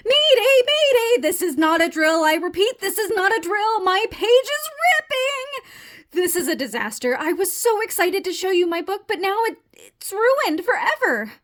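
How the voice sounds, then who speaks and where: A woman's voice: sits high, big pitch swings, measured pace. A woman voicing a fussy, easily panicked neat-freak male frog in a theatrical, indignant manner for a children's storybook. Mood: panicked, alarmed. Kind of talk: children's storybook read-aloud.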